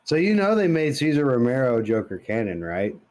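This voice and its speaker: A man with an average-pitched voice chats as a podcast co-host. His talk is casual and informal, with an insistent way of making his points. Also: big pitch swings, brisk, darkish tone. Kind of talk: casual pop-culture chat.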